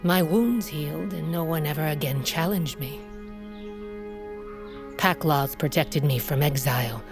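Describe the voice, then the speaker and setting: Slow-spoken, proud warrior queen. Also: low voice, over music. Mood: grim, boastful. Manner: grave, commanding storytelling. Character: proud, hardened older warrior queen and mother of wolf tribe. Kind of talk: audio fiction drama acting.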